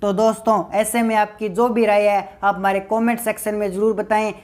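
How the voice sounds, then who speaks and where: A woman's voice: mid-range voice, little pitch movement, brisk, a little mellow. A woman narrates scripted political news commentary as a video presenter, with a formal, emphatic delivery.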